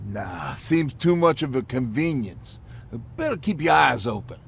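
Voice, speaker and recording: An actor playing the calm, matter-of-fact leader of a survivor group in a video game, with a gravelly voice. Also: male voice, mid-range voice, unhurried, full character voice. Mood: calm, practical. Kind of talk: video game cutscene acting.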